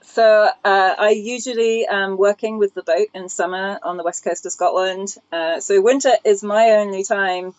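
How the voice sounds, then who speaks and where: A woman's voice: mid-range voice, steady tone, normal speed, hardly any pauses, smooth. A middle-aged woman chatting casually as a podcast guest, a boat skipper, on a slightly lo-fi recording. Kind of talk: casual interview chat.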